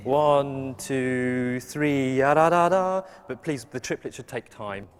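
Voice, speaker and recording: A man's voice: mid-range voice, slow, in a large hall. A male conductor, a native Cantonese speaker, rehearsing an orchestra, counting and singing rhythms aloud with polite requests. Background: orchestra playing.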